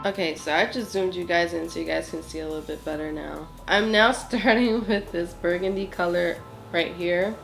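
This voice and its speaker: Young woman vlogger, lowish and nasal from a cold, chatty and apologetic, soft music bed. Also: fairly even intonation, moderate pace, creaky. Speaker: beauty vlogger. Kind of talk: casual makeup tutorial chat. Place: small home studio with plain wall.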